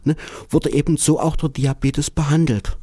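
A German man talks as an interview guest, his mellow voice sitting a little high for a man. He stumbles and repeats words, tacking on "ne" as he goes. The sound is dry and close. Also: steady tone, no room sound. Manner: halting, repeats words, frequent "ne" tags. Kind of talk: conversational interview. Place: living in Japan.

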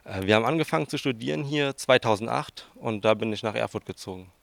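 A man's voice: medium pitch, fast, creaky, fairly bright tone, no echo. A man in his twenties with a southern Hessian German accent, answering interview questions informally and a little hesitantly. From southern Hesse, Germany. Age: 20s. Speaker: interviewee, student researcher.

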